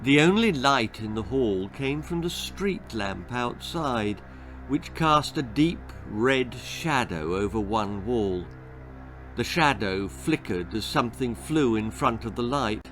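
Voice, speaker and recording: A man reading his own children's story as an expressive, theatrical narrator with deliberate phrasing. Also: medium pitch, soft background music.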